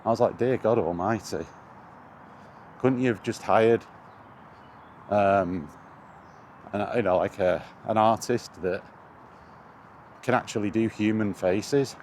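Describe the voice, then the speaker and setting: A man with a lowish voice talks casually, as a gaming vlogger would, in a rambling and hesitant flow with a wry edge of exasperation. He is recorded outdoors, with some background noise around him. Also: takes it slowly, long pauses, darkish tone. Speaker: video game vlogger.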